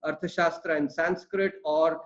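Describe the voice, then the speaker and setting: A man whose first language is Tamil lectures earnestly over a webinar link, his voice sitting a little high for a man. He piles up fillers and restarts his phrases as he builds his points. The call audio is dull and lo-fi. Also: fairly even intonation, normal speed. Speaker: webinar speaker on economics. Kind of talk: webinar talk.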